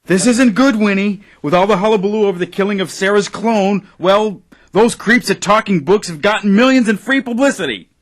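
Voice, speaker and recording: An actor playing an anxious, doubtful radio station owner in an audio drama, fretful and questioning. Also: male voice, sits high, a little fast, lightly acted. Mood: worried.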